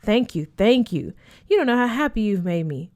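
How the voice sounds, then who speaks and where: A woman's voice: mid-range voice, very animated, moderate pace, darkish tone, natural voice. Woman playing a chatty, eager teenage boy. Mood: delighted. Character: chatty, easily delighted teenage boy at boarding school.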